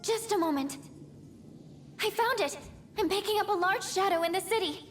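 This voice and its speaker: A woman acting a shy, gentle, self-doubting teenage girl in an English video game dub, speaking hesitantly and apologetically. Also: extremely high pitch, moderate pace, faint music behind. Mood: earnest, alert. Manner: hesitant, apologetic, soft-spoken.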